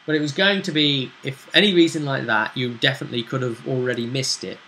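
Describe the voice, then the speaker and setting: A man chatting casually as game master, recapping a tabletop role-play session in a halting, storytelling way with pauses. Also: medium pitch, steady tone, sharp tone, a little hiss or hum, low-quality recording.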